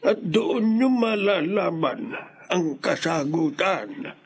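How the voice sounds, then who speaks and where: An actor playing a mysterious old male stranger in a radio horror drama, speaking gravely and solemnly with a husky voice. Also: high voice, expressive, slow, exaggerated voice. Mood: ominous.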